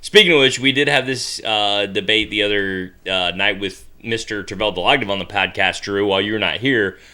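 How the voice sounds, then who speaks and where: A man's voice: on the low side, moderate pace, pushed and bright. A man hosting a casual podcast with his co-hosts, upbeat and joking, laughing often. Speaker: podcast host.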